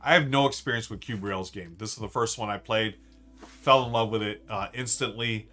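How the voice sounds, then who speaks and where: A man's voice: lowish voice, a little fast, pushed and bright, soft background music. A man hosting a casual live stream, ranking board games in an enthusiastic, rambling way with lots of fillers and restarts. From United States.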